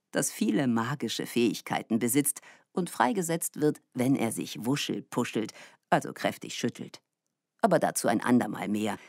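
A man's voice: medium pitch, gravelly, darkish tone, no room sound, studio quality. A man reading scripted narration for children as a storyteller.

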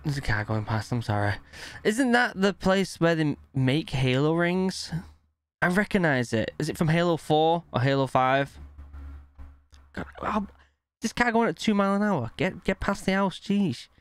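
A man's voice: fairly high pitch, lively intonation, normal speed, clean tone, no room sound. A British man in his thirties giving casual, enthusiastic, exclamatory reaction commentary into a close microphone. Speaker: reaction video host. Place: small indoor room at home. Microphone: close desk microphone.

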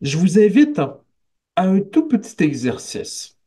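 A man's voice: high voice, big pitch swings, mellow, slightly rough. A man in his forties with a Québécois French accent, preaching a sermon over a headset on a video call from a small office. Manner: slow, measured preaching with pauses and rising emphasis. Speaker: pastor.

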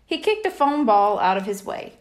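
A woman reading a children's storybook aloud in an expressive narrating style. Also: on the high side, moderate pace. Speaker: audiobook reader.

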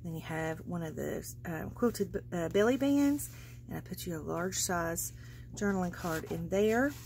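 A woman's voice: on the low side, animated, a little slow, gravelly, darkish tone, slight background noise. A woman casually narrating a flip-through of her handmade journal as a craft seller, chatty and gushing, with many fillers. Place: indoors at a craft table. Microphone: overhead camera, speaker off-screen.

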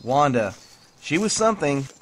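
A man's voice: fairly high pitch, very animated, moderate pace. A man playing a wry, evasive skeptic in an audio drama, dry and offhand. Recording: faint outdoor nature noise. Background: birds, outdoor ambience.

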